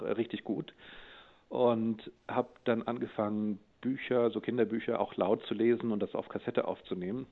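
A middle-aged man speaking conversationally as an interviewed voice actor, telling anecdotes over a thin phone-like line. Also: medium pitch.

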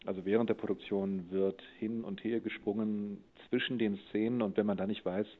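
A man's voice: on the low side, steady tone, moderate pace. A man talking casually in an interview as a voice actor, explaining things thoughtfully and in detail. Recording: tinny, phone-like narrow line.

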